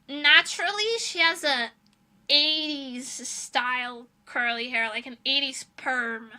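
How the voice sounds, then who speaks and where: A woman's voice: high voice, bright, forceful tone. A young woman livestreamer chatting casually in an informal, self-deprecating, rambling way.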